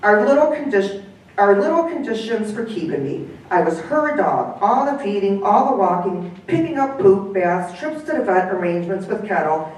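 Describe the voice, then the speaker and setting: A woman's voice: mid-range voice, sing-song, moderate pace, pitched above the performer's own voice, natural voice. Woman doing a loyal old dog, reproachful, needling, distant mic, roomy echo. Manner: indignant, needling. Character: aging male pet dog, devoted, reproachful. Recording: echoey room, from far off.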